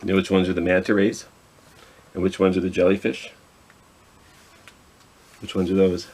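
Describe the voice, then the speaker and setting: A man's voice: sits low, little pitch movement, normal speed, darkish tone, a little hiss or hum, low-quality recording. Chatty man hosting children's storytime. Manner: informal, self-deprecating, rambling asides. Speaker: children's library storytime host. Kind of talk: casual, chatty talk to young children.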